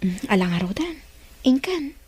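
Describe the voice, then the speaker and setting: A woman acting a gentle young student and single mother in an Ilocano radio drama, with an Ilocano accent. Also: fairly high pitch, sing-song, moderate pace, slightly rough, no room sound. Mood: friendly.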